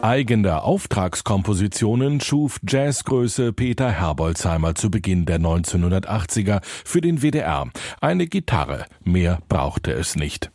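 A man's voice: deep voice, lively intonation, unhurried, music in the background. A man presenting a scripted radio documentary as its narrator.

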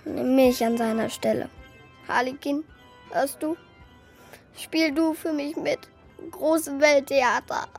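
A woman's voice: high voice, steady tone, slow pace, pauses often, faint music behind. A woman playing a naive, pleading little wooden puppet boy in a children's radio play, hesitant and earnest.

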